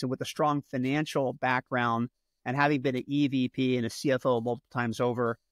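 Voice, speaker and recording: A male podcast host introduces his guest in an upbeat, clearly paced way, as if reading from prepared notes. His pitch is ordinary middle range. The sound is dry and close to the mic. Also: fairly bright tone, no echo.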